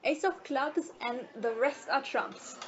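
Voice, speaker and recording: A woman's voice: high-pitched, slow pace, a crowd in the background. A woman playing a polite, confident cruise passenger in an audio play, speaking in a matter-of-fact way. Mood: polite, pleased. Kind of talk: amateur audio play acting. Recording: muffled recording with background noise.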